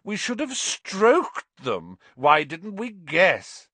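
Spoilt schoolboy bully for an audiobook, slow contemptuous drawl, cold and sarcastic, acted by a man. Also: medium pitch, wildly swooping pitch, a bit bright, no room sound, full character voice. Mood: sarcastic sneer. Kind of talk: audiobook character voice.

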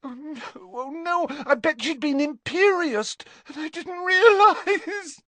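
A man's voice: very high-pitched, expressive, slow, pushed and bright, no room sound, voice pushed up, exaggerated voice. A man voicing a distraught, fragile teenage schoolgirl who is sobbing, in a storybook reading. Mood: tearful.

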